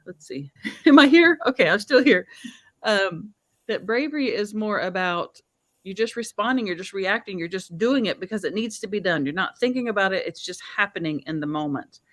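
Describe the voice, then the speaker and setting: A woman's voice: mid-range voice, no room sound, studio quality. A woman from Oklahoma with a strong Southern accent, hosting a casual video chat in a chatty, upbeat way. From Oklahoma, USA. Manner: chatty, upbeat, laughs often. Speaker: show host.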